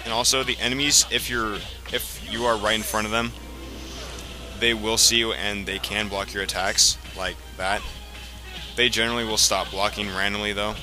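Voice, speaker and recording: Low-voiced man rambling through game commentary. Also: flat delivery, normal speed. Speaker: let's play commentator. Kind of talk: casual video game commentary. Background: video game music.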